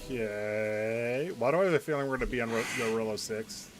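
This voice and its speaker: A man with a medium-pitched voice gives relaxed-format streamer commentary on a game's story. He is analytical and often pauses mid-thought. Faint noise sits behind his voice. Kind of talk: casual gameplay commentary.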